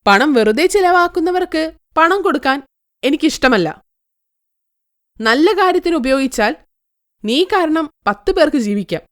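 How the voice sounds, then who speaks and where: A woman voicing a calm moralizing magic tree. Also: high voice. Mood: lecturing. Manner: calm, measured, lecturing.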